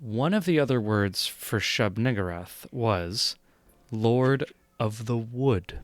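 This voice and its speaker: A man running a tabletop role-playing game as game master, narrating with many pauses and fillers. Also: medium pitch, expressive, dry recording.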